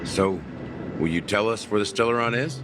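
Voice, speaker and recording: A man's voice: fairly low pitch, normal speed, close to an everyday voice. A man playing a shrewd, self-deprecating veteran schemer in a video game, with a wry, casual and sly way of talking. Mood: wry, probing. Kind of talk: scripted video game dialogue acting. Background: background music.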